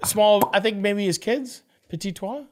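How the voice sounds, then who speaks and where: A man whose voice sits high, telling a story in loose podcast chat. He rambles, repeats himself and circles back to his point. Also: measured pace. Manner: rambling, repeats words as he goes. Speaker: podcast co-host.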